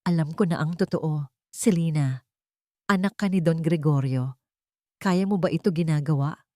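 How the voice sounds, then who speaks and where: A woman's voice: low voice, moderate pace, a little mellow, no echo, natural voice. An anxious, brave young heroine, played by a woman narrator, speaking with urgency and pleading. It is audio melodrama, recorded close and dry. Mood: confronting.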